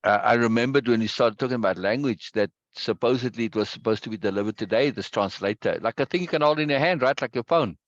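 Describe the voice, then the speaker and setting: A man hosting a casual video-call chat, talkative and quick to repeat himself and laugh. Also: mid-range voice, no echo, highs cut off. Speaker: meeting host.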